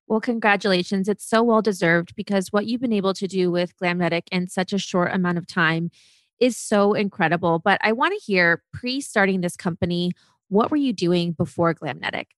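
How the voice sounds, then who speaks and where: A woman's voice: mid-range voice, normal speed, no echo. A woman hosting a podcast, reading an enthusiastic intro and then interviewing her guest. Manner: upbeat, enthusiastic promo reading. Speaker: podcast host.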